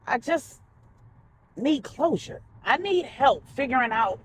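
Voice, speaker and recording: Woman acting plaintive high-pitched divorced woman. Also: expressive, slow, a little hiss or hum. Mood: pleading. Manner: plaintive, confessional. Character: tearful, insecure divorced woman, self-blaming and pleading.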